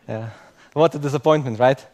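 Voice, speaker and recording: A man's voice: medium pitch, normal speed, darkish tone. A young man speaking English as a second language, giving a joking conference talk and often tagging sentences with "okay?". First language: German or Croatian. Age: young adult. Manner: joking, non-native English with frequent "okay?" tags.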